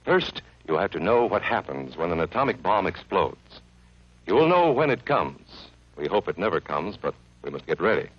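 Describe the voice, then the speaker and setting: A man's voice: deep voice, very animated, normal speed, dry recording, lo-fi sound. A man narrating an educational film for children in an earnest, friendly, instructive way, from an old 1950s soundtrack.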